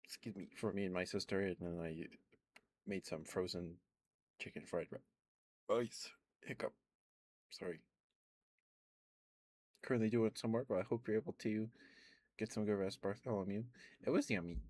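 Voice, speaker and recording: Low-voiced man rambling in soft ASMR chat. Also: normal speed, pauses often. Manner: meandering, chatty, lots of ums and little laughs. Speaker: ASMR streamer.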